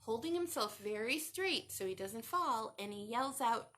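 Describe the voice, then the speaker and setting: High, animated woman reading to children. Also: unhurried, a little hiss or hum. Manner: expressive, asks the listeners questions. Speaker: children's storytime reader. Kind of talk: interactive picture book read-aloud for young children.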